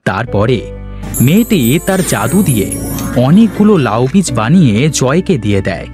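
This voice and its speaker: A man's voice: mid-range voice, big pitch swings, normal speed, over music. A man reading scripted narration for a children's fairy tale.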